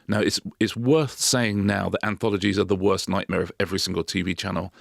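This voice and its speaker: A man with a very low, deeply gravelly voice talks fast in a casual podcast chat, often restarting his sentences as he tells a story. The sound is close and dry, like a studio mic. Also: fairly even intonation, dry recording. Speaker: podcast co-host.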